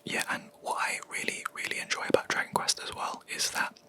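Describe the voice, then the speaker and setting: A young adult video creator, whispering a rambling, hesitant gaming chat close to the mic in a quiet room. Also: brisk. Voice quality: soft unvoiced whisper throughout. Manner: rambling, hesitant, with many ums and self-corrections.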